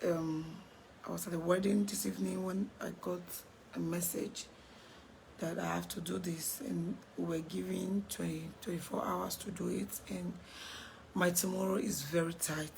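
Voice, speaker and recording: A woman with a Nigerian accent who has lost her voice, speaking hoarsely and slowly, repeating herself and dropping into whispers. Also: lowish voice, slight background noise, poor audio. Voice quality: raspy, cracked voice. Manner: slow, halting, repeats herself, drops to whispers. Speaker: vlogger making an apology video. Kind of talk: casual direct-to-camera apology.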